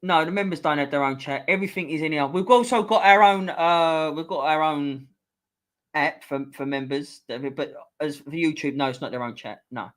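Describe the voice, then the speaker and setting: A middle-aged man hosts a casual live stream quiz, bantering with viewers and swearing freely. His voice is going a bit hoarse. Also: a little high, normal speed. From England. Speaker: livestream host.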